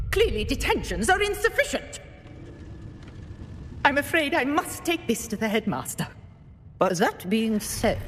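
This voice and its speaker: An actress playing a stern, disappointed school staff member in a video game, reproachful and measured as she scolds a pupil. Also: sits high, fairly bright tone, full character voice. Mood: scolding. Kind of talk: scripted video game acting. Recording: echoey hall, faint background noise.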